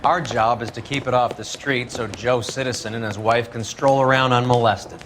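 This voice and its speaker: A man's voice: medium pitch, fairly even intonation, moderate pace, over music, lightly acted. A man playing a cynical, foul-mouthed vice cop. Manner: gruff, blunt, profane. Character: cynical veteran 1940s vice cop, crude and worldly.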